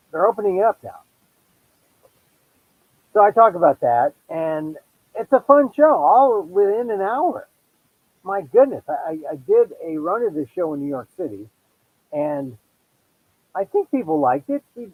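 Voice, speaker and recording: A middle-aged Filipino American man hosting his own podcast, chatting casually with self-deprecating asides and quick restarts. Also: on the high side, husky, rough recording. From United States. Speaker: podcast host. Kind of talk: casual solo monologue promoting his show.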